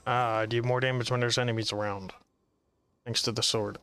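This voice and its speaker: Bright-voiced man commenting on gameplay. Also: medium pitch, level tone, fairly quick. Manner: rambling, grumbling. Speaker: gaming streamer. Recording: game music behind.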